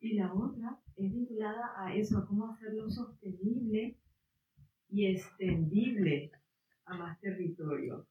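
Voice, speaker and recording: A woman's voice: mid-range voice, measured pace. A woman whose first language is Guaraní asks a long, meandering question at a public debate, searching for her words as she goes. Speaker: audience member asking questions. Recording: distant, echoey, phone-like audio.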